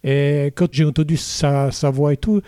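A man's voice: on the high side, steady tone, measured pace, dark tone, clean tone, dry recording, clean studio sound. A middle-aged man with a Vaud Swiss French accent, a musician chatting in an interview with many hesitant fillers. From Switzerland. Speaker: musician guest. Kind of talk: casual interview chat.

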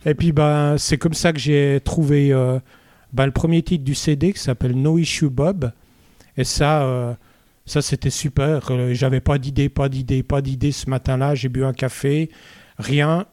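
Brief: musician guest, man, Vaud Swiss accent, mellow, dry close mic, rambling with lots of fillers. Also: medium pitch, fairly even intonation, normal speed, no echo. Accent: Vaud Swiss French. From Switzerland. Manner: hesitant, many "euh" fillers, chatty storytelling. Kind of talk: casual interview chat.

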